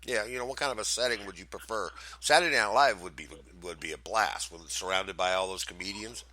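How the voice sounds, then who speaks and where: A man's voice: sits low, fairly quick, noisy background. A man in his fifties who hosts a radio show, chatting in an enthusiastic, rambling way and saying "man" often. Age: 50s. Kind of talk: casual chat.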